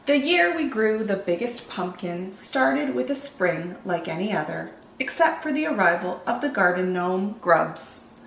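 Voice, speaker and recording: A woman reading picture books aloud as a children's storytime host, expressive and deliberate, with drawn-out dramatic emphasis. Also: medium pitch, vocal fry. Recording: echoey room, faint background noise.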